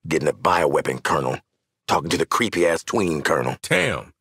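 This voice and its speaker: Game casting: an irritable, cocky gun-for-hire, sardonic and punchy, highly animated pitch, husky tone. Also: male voice, fairly quick, no echo, clean studio sound, heavily acted. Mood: sardonic, irritated. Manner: slangy, sardonic, punchy delivery. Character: cocky, irritable male mercenary sharpshooter, sardonic squad member. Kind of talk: video game character voice lines.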